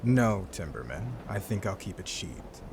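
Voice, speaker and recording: A man's voice: low-pitched, big pitch swings, a little slow, creaky, background noise, full character voice. A man playing a feared pirate overlord in an audio drama, a sly, gloating villain with a mock-courteous, taunting, theatrical delivery. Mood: smug, taunting. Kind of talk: cinematic audio roleplay acting.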